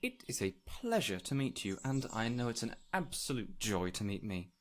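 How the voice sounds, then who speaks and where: A man playing a peculiar, eager fey man in an audio roleplay, courteous and theatrical, with flowery, excitable phrasing. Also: medium pitch, normal speed, lightly acted. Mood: apologetic, eager. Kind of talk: immersive audio roleplay addressed to the listener.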